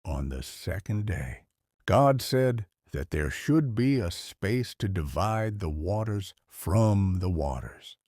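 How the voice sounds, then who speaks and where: Slow, animated old grandfather storyteller. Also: sits low, husky, no echo, studio quality, exaggerated voice. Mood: reverent. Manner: unhurried, expressive, theatrical delivery. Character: kindly old grandfather storyteller telling Bible stories. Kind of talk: dramatized audio story narration.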